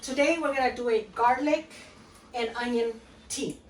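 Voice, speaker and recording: A woman's voice: fairly high pitch, normal speed. A woman from Guatemala, perhaps in her thirties, chatting casually with lots of fillers while she shows a recipe in her home kitchen. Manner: chatty, rambling, many fillers and "okay?" check-ins. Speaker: home cooking vlogger. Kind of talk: casual home cooking demonstration. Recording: roomy, mic at a distance, faint room noise.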